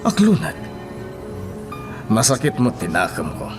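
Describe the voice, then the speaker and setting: A grave, concerned father in a radio drama. He counsels his daughter in a low voice over background music. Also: huge pitch swings, unhurried. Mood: pleading.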